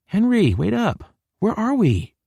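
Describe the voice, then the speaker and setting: A man's voice: high-pitched, huge pitch swings, measured pace, vocal fry, mellow, husky, dry recording, clean studio sound, voice pushed up, full character voice. A man voicing a nervous, flustered young woman. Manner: anxious, flustered.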